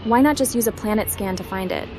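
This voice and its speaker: A woman's voice: medium pitch, fast talker, noisy background, natural voice. A woman voicing a direct, inquisitive female hacker adventurer in scripted game role-play. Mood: curious, businesslike. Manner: direct, to the point.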